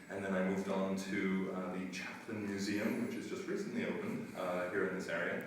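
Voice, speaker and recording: A middle-aged man from the United States, a school administrator answering interview questions in an earnest, measured way with frequent pauses. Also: low voice. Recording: roomy echo, distant mic, faint noise.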